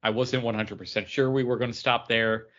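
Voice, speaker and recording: A man's voice: mid-range voice, fairly even intonation, brisk, a bit bright, muffled recording. A man chatting casually as a podcast co-host, telling stories in a rambling, meandering way. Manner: rambling storyteller. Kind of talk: casual chat.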